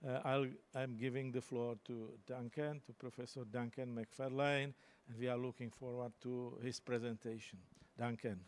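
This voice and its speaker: A man in a large lecture hall introducing the guest speaker into a handheld microphone, halting and searching for words with many uhs. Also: medium pitch, flat delivery, slight background noise. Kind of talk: formal introduction.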